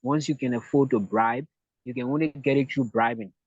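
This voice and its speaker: A man's voice: mid-range voice, brisk, husky, dry recording. A man from Liberia with a Liberian accent, answering interview questions hesitantly, often repeating words and restarting. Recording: dull lo-fi dry sound.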